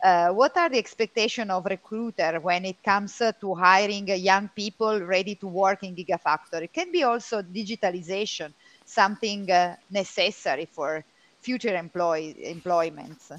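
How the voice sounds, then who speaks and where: A woman moderating a panel discussion, putting questions in halting, hesitant phrases. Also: mid-range voice, fairly bright tone, dry recording, poor audio.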